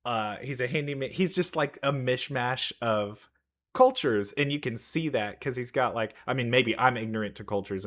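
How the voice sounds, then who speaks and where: A man's voice: medium pitch, fairly bright tone. A man giving casual game trailer commentary as a reaction host, thinking aloud in an enthusiastic, rambling way. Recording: music behind the voice.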